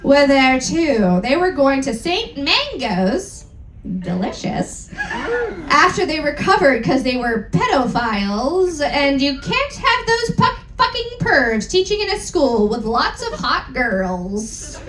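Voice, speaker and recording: A woman's voice: high-pitched, big pitch swings, slow pace, fairly bright tone. A woman giving a theatrical comic story reading to a live room, with playful, sweary asides and exaggerated delivery. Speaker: performer of a dramatic reading. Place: indoor live stage event. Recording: echoey live room, faint noise.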